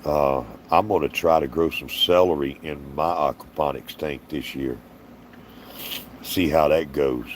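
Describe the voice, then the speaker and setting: A man's voice: rumbling low, fairly even intonation, unhurried, slight background noise. A man hosting a casual livestream Q&A, folksy and rambling, reading out viewer comments in a tired, strained voice. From Alabama, US. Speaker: livestream host.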